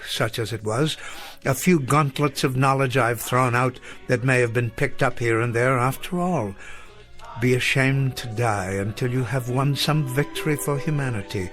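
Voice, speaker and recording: Gentle elderly male professor for radio drama, played by a male actor: courtly, grateful, mid-range voice, faint music. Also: medium pitch, natural voice. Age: older. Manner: formal, courtly wording with deliberate repetition.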